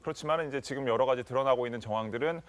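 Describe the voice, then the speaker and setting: A man speaks as a studio panel commentator. His voice is mid-pitched, and his delivery is measured and analytical, winding through long sentences with careful qualifications.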